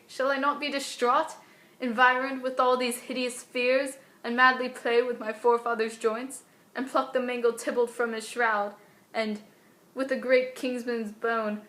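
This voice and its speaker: A woman's voice: on the high side, a bit bright, echoey room, natural voice. A woman performing a Shakespearean soliloquy as a frightened, desperate teenage girl, anxious and wavering in old verse.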